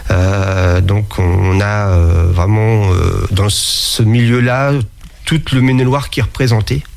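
Hesitant low-voiced man interviewed. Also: slow, creaky, a little mellow. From France. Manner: hesitant, many fillers and restarts. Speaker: interviewee, sommelier and museum founder. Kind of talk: casual interview talk.

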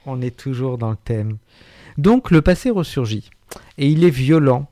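A man's voice: medium pitch, expressive, measured pace, warm tone, no echo. A man presenting a radio book column in a wry, articulate way, with frequent hesitations. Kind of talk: radio literary chronicle, partly read.

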